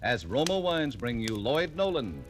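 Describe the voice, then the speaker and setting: A man introduces a 1940s radio drama with grand emphasis. His voice moves widely in pitch over prominent music. The recording is an old broadcast. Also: big pitch swings, measured pace. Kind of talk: 1940s radio scripted announcing.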